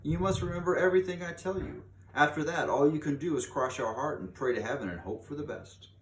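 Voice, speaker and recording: A man voicing a very old, grave and insistent grandmother in a storybook, with emphatic, deliberate delivery. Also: on the high side, pitched above the performer's own voice, natural voice. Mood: earnest warning. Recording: echoey room, distant mic, faint noise.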